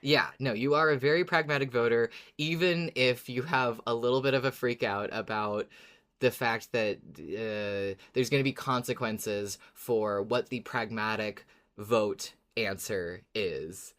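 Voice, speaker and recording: A podcast co-host in casual, reflective chat, agreeable and hesitant, feeling out each thought in halting phrases. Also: mid-range voice, fairly bright tone. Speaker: podcast co-host discussing a fiction series.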